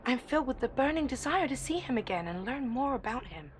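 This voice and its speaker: A woman's voice: high-pitched, expressive, fast. A woman playing an eager, naive young university student confiding earnestly in an audio drama monologue. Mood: infatuated, wistful. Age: young adult. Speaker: actor. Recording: clear background ambient noise.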